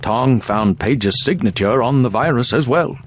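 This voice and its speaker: An actor playing a paranoid, ailing conspirator in a video game, speaking formally and warily, prone to coughs. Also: male voice, mid-range voice, expressive, measured pace, slight background noise, natural voice. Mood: grave, informative. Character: ailing, paranoid conspirator, a weary grown man.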